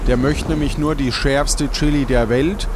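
A man playing along in an improvised adventure audio play in a casual, deliberate way. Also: medium pitch, fairly even intonation, background noise.

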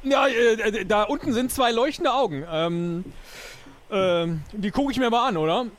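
A man playing an improvised adventure game, thinking aloud in an animated way. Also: high-pitched, moderate pace, slight background noise. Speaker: player. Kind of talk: improvised role-play adventure chat.

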